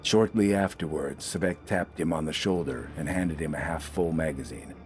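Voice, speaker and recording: Very deep-voiced male story narrator. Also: moderate pace, a little mellow, soft background music. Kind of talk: dramatic scripted fiction narration.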